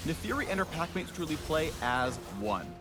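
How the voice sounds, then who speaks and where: A man's voice: a little high, very animated, moderate pace, music in the background. A man delivering scripted, playful promotional narration for a video game character spotlight.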